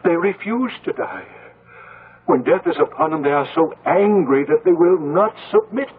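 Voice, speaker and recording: An actor playing a troubled widowed older father in a radio drama, husky, earnest and hesitant. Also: on the high side, animated, moderate pace, narrow, tinny audio. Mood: brooding, earnest. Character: troubled, pondering elderly widowed village father. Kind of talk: 1970s radio drama acting. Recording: band-limited old radio broadcast.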